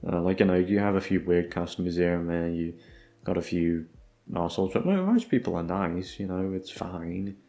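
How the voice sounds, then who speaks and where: Low, creaky-voiced rambling young man. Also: expressive, normal speed, warm tone. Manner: rambling, hesitant, sweary. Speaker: audio roleplay creator. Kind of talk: casual unscripted chat.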